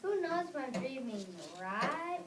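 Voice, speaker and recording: A woman's voice: high-pitched, animated, a little slow, noisy background. A young girl reading the part of a tired, fearful enslaved farmhand in a school readers theater play. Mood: tired, wary. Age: child, about 8 to 9. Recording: echoey room, distant, audience murmur.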